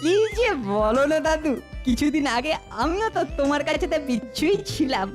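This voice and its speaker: Cheeky cartoon schoolboy, highish voice. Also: female voice, very animated, normal speed, over music. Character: cheeky older boy, mischievous schoolboy ringleader. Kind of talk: Bengali children's cartoon voice acting.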